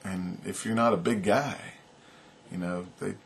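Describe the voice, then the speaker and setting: Rambling, earnest man with a low voice. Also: steady tone, unhurried, creaky, a little hiss or hum. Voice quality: loud voice. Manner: rambling, earnest, leans on "man" and "you know". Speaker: vlogger. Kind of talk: casual opinion vlog to camera. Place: small indoor room, seated on couch.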